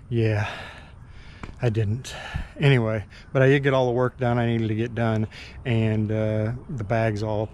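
A man in his fifties vlogging casually to an action camera on his motorcycle trip, easygoing and self-mocking as he talks between rides and at gas stops. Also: medium pitch. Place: outdoors, riding a motorcycle and at gas stations. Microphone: action camera mounted on the bike. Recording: loud wind and road noise. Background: loud road and engine noise.

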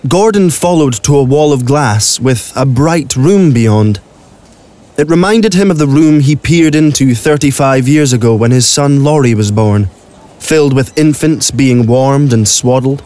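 A man's voice: mid-range voice, a little slow, dark tone. A man narrating a scripted audio drama as a storyteller. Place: recording studio. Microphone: close studio microphone.

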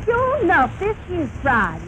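Very high-voiced, eager maid. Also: female voice, huge pitch swings, normal speed, fairly bright tone, heavily acted. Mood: eager, obliging. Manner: folksy dialect, deferential. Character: eager, deferential maid to a saloon dame. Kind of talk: 1930s radio comedy acting. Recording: muffled, hissy 1930s radio recording.

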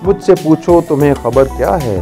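A man delivers scripted narration as a narrator would. His pitch moves animatedly up and down around a middling register, and music plays behind him. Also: lively intonation, normal speed, mellow. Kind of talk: scripted narration with recited Urdu poetry.

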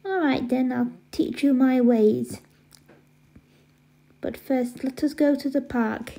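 High girlish voice, acted by a woman, entitled, smug, defiant, unhurried, children's skit. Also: fairly even intonation, creaky, mellow, exaggerated voice. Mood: smug, scheming. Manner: smug, defiant, self-satisfied. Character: entitled, defiant young girl, middle sister Megan.

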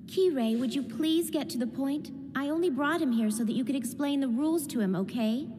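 A woman voicing a brisk, impatient teenage girl in an English anime dub, explaining things assertively. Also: sits high, dark tone, a little hiss or hum, natural voice.

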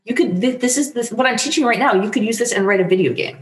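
A woman's voice: a little high, fast. Enthusiastic middle-aged woman in an echoey room. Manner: enthusiastic, passionate. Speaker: guest, playwright and teacher. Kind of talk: conversational interview. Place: home room with bookshelves, indoors. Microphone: desk microphone on video call. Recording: echoey room over video call.

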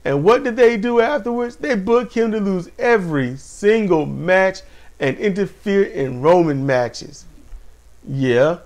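A man in casual wrestling chat with a somewhat high voice, animated and quick to laugh. He talks straight to his viewers and calls them y'all. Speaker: podcast co-host.

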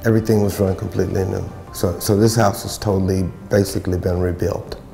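An older man, a building contractor, talking plainly and haltingly about a renovation, on a lapel mic. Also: sits low, little pitch movement, gravelly, dark tone, soft background music. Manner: plain-spoken, halting, many fillers. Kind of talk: documentary interview. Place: renovated house, indoors.

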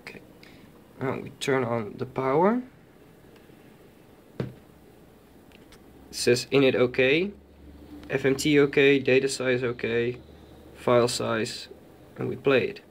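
A man's voice: mid-range voice, takes it slowly, pauses often, slight background noise. A man giving an informal, hesitant walkthrough of a hobby electronics project, speaking from behind a handheld camera. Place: indoors at a desk with electronics.

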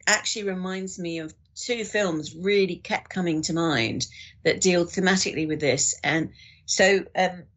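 A woman's voice: on the low side, unhurried, a bit bright. A woman guest on a podcast, talking thoughtfully in a conversational way.

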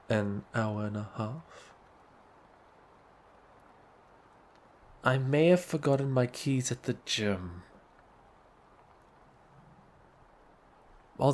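A young man playing a shy, awkward, apologetic neighbor in an ASMR roleplay, speaking hesitantly. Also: on the low side. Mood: relieved, grateful.

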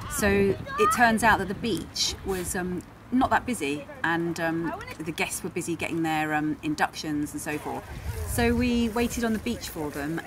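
A woman's voice: on the low side, unhurried. A woman in her forties narrating a casual sailing travel vlog, talking to camera. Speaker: travel vlogger, sailor. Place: outdoors on a harbour quayside. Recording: noisy outdoor waterside ambience.

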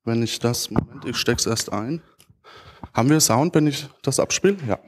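A man's voice: medium pitch, animated, moderate pace. A man presenting informally as a conference speaker, somewhat hesitant and searching for words as he goes. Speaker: conference speaker, podcast creator. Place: large auditorium stage.

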